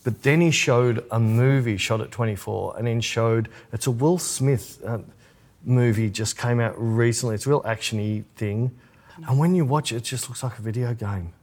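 A man's voice: mid-range voice, normal speed. A man co-hosting a podcast, chatting casually and explaining things with enthusiasm. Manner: chatty, animated when explaining. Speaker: podcast co-host. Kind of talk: casual chat.

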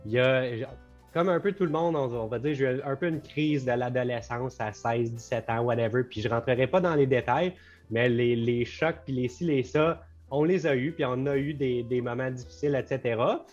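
A man's voice: medium pitch, normal speed, faint music behind. A young man with a Quebec French accent, a business co-owner talking loosely and at length in a casual podcast conversation, dropping in English words. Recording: slightly dull lo-fi sound.

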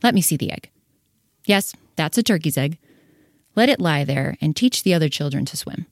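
A grand old duck matriarch from a storybook, acted by a woman, speaking in a lowish female voice. She is imperious, blunt and condescending, recorded dry with no room sound. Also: lively intonation, moderate pace, gravelly, dry recording. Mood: knowing, dismissive. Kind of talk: full cast storybook dramatization.

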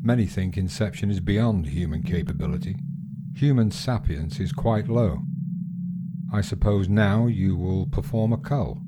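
A cool alien director, speaking low with clinical, officious formality. It sounds like a sci-fi radio play, with loud ambient noise behind the voice. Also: male voice, measured pace. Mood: clinical, detached. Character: cool, authoritative alien bureaucrat, director of planets. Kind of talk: science fiction audio drama acting.

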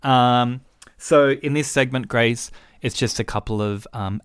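A man of middle pitch talks loosely as a podcast co-host. He rambles with many "um"s and restarts. His voice is close and dry, with no room sound. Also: unhurried, fairly bright tone, clean tone, no room sound. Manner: chatty, rambling, lots of fillers and restarts. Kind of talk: casual chat.

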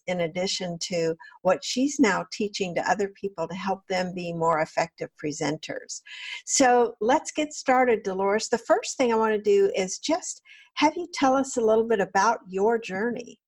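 A woman hosting a podcast, reading polished scripted introductions in a warm manner. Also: mid-range voice. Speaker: podcast host. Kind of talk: podcast interview.

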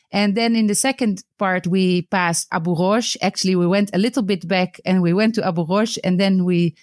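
A woman's voice: mid-range voice, no room sound. Woman hosting, close dry sound. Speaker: podcast host, history teacher. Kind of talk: hosting an interview, conversational narration.

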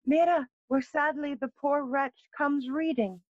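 Regal adult queen, high-pitched and impatient, muffled close recording, classical audio play. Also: fairly even intonation, measured pace, darkish tone, no room sound. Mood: impatient, concerned. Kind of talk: Shakespearean acting in audio play.

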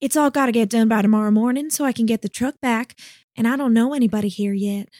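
A man's voice: extremely high pitch, quick pace, darkish tone, no room sound, studio quality, pitched above the performer's own voice. A male narrator voicing a small young woman with a Southern drawl who talks bluntly and swears freely. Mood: casual. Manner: blunt, salty, boisterous.

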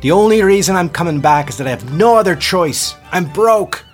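A man voicing a fed-up young woman who shouts scornfully from a ferry. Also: fairly low pitch, expressive, normal speed, faint music behind, pitched above the performer's own voice, close to an everyday voice. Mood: angry. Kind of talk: audiobook character voice.

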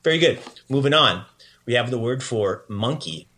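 A man's voice: mid-range voice, expressive, moderate pace, bright, forceful tone. A man hosting a podcast language lesson in a casual, playful and encouraging way. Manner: encouraging, praising the learner often.

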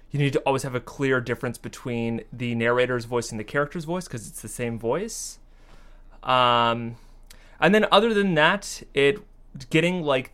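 A man speaking as an interview guest, explaining things casually and a little haltingly. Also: mid-range voice, a bit bright. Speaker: podcast creator and audio editor, interview guest.

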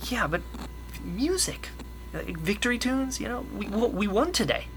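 A man playing an excitable, hopeful young rebel radio host in a sci-fi radio drama, earnest and stammering when flustered. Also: sits high, normal speed, slight background noise, full character voice. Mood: hopeful, pleading. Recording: music bed under the voice.

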